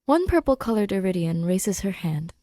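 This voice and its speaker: A woman's voice: mid-range voice, big pitch swings, moderate pace, mellow, no room sound, clean studio sound. A woman reading fan fiction aloud as a podfic narrator, in scripted storytelling style.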